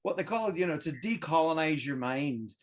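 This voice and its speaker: Irish guy, a bit high-pitched, lively podcast guest who talks things through. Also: normal speed. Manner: animated, emphatic, thinks aloud. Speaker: guest history video creator. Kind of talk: casual roundtable podcast chat.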